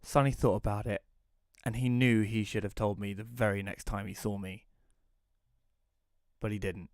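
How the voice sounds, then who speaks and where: A man's voice: lowish voice, little pitch movement, moderate pace, dry recording, studio quality, natural voice. A man playing an awkward, reclusive widower who narrates his own audio drama in an informal, conversational way. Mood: reflective narration. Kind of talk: first-person audio drama narration and dialogue.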